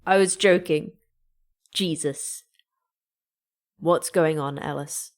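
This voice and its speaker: A woman's voice: deep voice, slow pace. A woman playing a terse, irritable, sarcastic station manager in a sci-fi horror audio drama. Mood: exasperated. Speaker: station manager of a secret facility.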